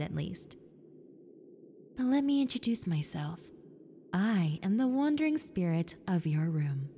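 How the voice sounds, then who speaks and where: A woman voicing a friendly, needy young female ghost in an intimate roleplay, softly coaxing the listener with hesitant pauses. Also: medium pitch. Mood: playful, friendly. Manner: soft, coaxing, hesitant pauses, sometimes near whisper. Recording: long reverb, clear background noise and ambience.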